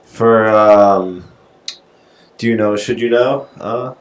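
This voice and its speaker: A man in his twenties speaks in a fairly low, dry voice as he runs through a podcast's topics. He hesitates with fillers along the way. The room around him is echoey. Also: slow pace. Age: 20s. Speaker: podcast co-host. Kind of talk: casual chat, reading topic rundown.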